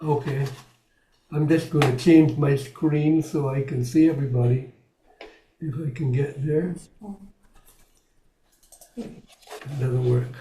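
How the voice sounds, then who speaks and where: Relaxed male host, mellow voice. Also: mid-range voice, slow, long pauses, roomy sound, rough recording. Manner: relaxed and chatty, laughs lightly. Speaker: host moderating a talk. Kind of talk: casual moderating on a video call. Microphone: video call.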